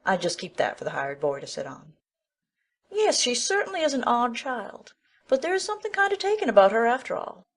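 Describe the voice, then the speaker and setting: A woman's voice: a little high, very animated, brisk. A woman playing an outspoken, kindly but bossy older village woman who talks in blunt, folksy country speech in an audiobook drama. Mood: chatty, frank. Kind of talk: full-cast audiobook acting.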